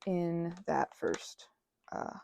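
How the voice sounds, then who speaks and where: A woman rambling casually as she crafts, talking in a gushing, halting way and often interrupting herself. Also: fairly low pitch, gravelly, darkish tone, a little hiss or hum. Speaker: hobby ASMR and roleplay audio creator.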